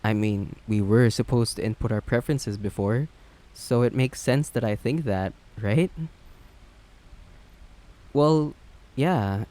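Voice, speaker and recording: A man's voice: mid-range voice, a little mellow, lightly acted. A man playing an awkward, earnest villain on a blind date in a romantic comedy audio drama, talking in a flustered, conversational way with stammers. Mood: awkward, defensive. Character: well-known male villain on a blind date, awkward, earnest, legal-minded.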